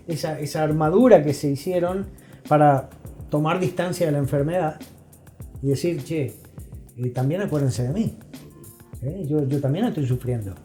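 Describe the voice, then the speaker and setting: A middle-aged man with an Argentine accent, explaining things as an expert guest in an interview in an emphatic, deliberate way, pausing to check he is understood. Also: on the high side, warm tone. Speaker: guest expert, psychology professional.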